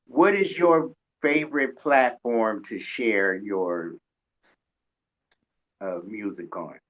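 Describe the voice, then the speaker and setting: A man hosting a casual radio interview, talking in a halting way and often repeating words. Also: fairly low pitch, a bit bright. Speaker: radio show host and interviewer. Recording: dull lo-fi recording.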